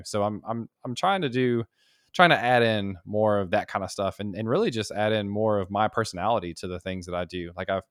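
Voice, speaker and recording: A man's voice: fairly low pitch, dry recording, studio quality. A man appearing as a podcast guest, chatting enthusiastically and saying "like" a lot. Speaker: guest, developer and YouTuber. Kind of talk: casual podcast conversation.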